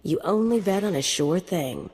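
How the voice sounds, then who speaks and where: Mercenary, cocky, sardonic, very low-voiced, reverb. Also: female voice, expressive, normal speed, a little mellow, breathy edge. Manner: sardonic, flippant. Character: cocky, reckless female mercenary. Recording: game audio with long reverb.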